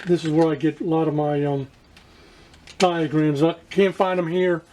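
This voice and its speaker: Mellow-voiced man, halting and casual. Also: on the high side, fairly even intonation, a little hiss or hum. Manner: halting, searching for words, repeats himself. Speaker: radio restoration hobbyist presenting to viewers. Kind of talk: casual hands-on demonstration.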